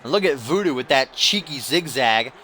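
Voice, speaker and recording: Bright-voiced man yelling wrestling play-by-play. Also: medium pitch, lively intonation, moderate pace, slight background noise. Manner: swings from dry grumbling to yelling big moves; profane, teasing. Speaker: video game commentator.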